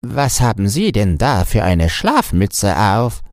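A man's voice: on the low side, big pitch swings, takes it slowly, darkish tone, no room sound, clean studio sound, voice pushed up, exaggerated voice. A man voicing a cheeky, pompous raven in a storybook, impudent and self-satisfied.

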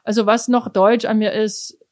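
A woman's voice: medium pitch, flat delivery, moderate pace, a little mellow. A middle-aged woman from eastern Germany, a cellist being interviewed, speaking in a thoughtful way with many fillers and restarts. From East Germany. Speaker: orchestra cellist, interview guest. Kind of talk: casual conversational interview.

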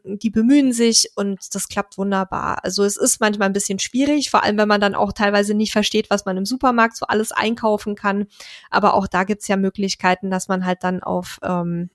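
A woman co-hosting a casual podcast, chatting and reading listener mail aloud, often pausing on "ähm". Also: mid-range voice, dry recording, studio quality. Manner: chatty, fills pauses with "ähm". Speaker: podcast co-host.

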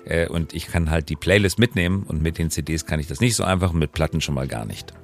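A man's voice: a bass voice, fast, soft background music. A middle-aged man chatting casually as the co-host of a podcast. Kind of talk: casual conversational podcast talk.

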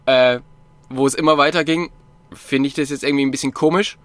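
A man's voice: medium pitch, fairly even intonation, fairly quick, a bit bright, no room sound. A man chatting casually as a podcast co-host about cycling, rambling with many fillers and restarts. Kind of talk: casual chat.

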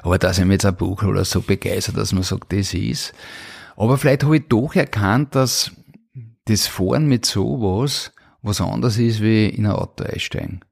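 Low, creaky-voiced Austrian man telling stories. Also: moderate pace. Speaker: interview guest. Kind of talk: casual autobiographical storytelling.

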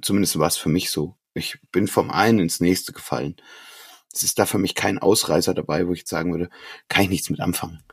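A man's voice: low-pitched, fairly even intonation, fairly quick, breathy edge, no echo, clean studio sound. A man casually discussing music on a podcast, gushing and emphatic, with plenty of slang. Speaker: podcast co-host.

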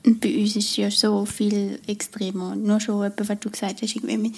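A woman's voice: mid-range voice, little pitch movement, brisk, gravelly, darkish tone, breathy edge. A young woman with a Swiss German accent, co-hosting a podcast in casual, hesitant talk with many fillers. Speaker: podcast co-host. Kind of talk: casual literary podcast chat.

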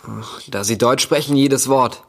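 A man's voice: mid-range voice, a little slow, vocal fry. An actor playing a fearless, insolent teenage boy detective in a German radio play, talking mockingly.

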